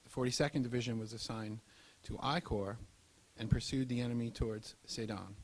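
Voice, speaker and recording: A man's voice: medium pitch, fairly even intonation, fairly quick, slight background noise, poor audio. Formal male narrator, mid-range voice. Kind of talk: scripted historical narration.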